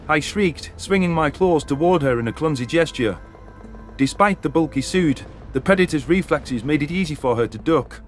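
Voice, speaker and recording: A man narrating a science fiction story in the first person with dramatic delivery. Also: on the high side. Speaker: audiobook narrator. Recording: narration over background music.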